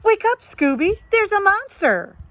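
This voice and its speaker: A woman voicing a goofy, cowardly, hungry young man with a slangy, excitable whine in a children's storybook. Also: extremely high pitch, measured pace, voice pushed up, heavily acted. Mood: alarmed.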